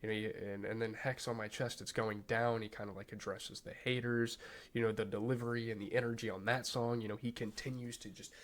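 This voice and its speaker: A man's voice: on the low side, a little fast. A young man with a stuffy, nasal voice as he hosts a casual album review, talking loosely with lots of fillers and restarts. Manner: loose and excited, many fillers and repeated starts. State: congested, nasal. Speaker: music reviewer, channel host.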